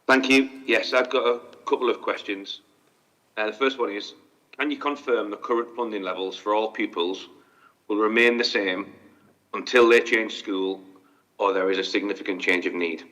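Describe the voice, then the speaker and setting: A man's voice: lowish voice, fairly even intonation, moderate pace, fairly bright tone, rough recording. A man who represents special schools, putting orderly, precise questions to a formal meeting.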